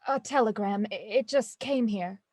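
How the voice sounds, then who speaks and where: An actress playing a timid young actor who voices a shaken heiress, speaking haltingly. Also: female voice, medium pitch, a little mellow, dry recording, close to an everyday voice. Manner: hesitant, halting. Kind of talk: audio drama acting. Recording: dry close studio sound.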